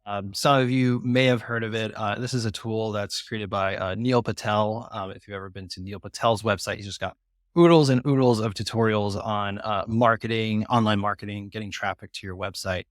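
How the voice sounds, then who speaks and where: A man's voice: fairly low pitch, steady tone, normal speed, dry recording, studio quality. A man giving a casual, informal explanation as a guest presenter on a podcast. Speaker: guest presenter of a tool tip.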